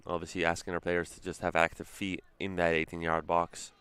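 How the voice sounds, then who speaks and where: Casting: male soccer commentator with a very low, smooth, resonant voice and a relaxed, chatty delivery. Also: brisk. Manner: easygoing, chatty, self-correcting. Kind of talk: play-by-play commentary.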